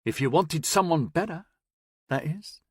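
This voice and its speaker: A man voicing a sly, cowardly man who babbles in a high, strained voice. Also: animated, measured pace, a little mellow, slightly rough, dry recording, clean studio sound. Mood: smug. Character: sly, cowardly man, wheedling then smug.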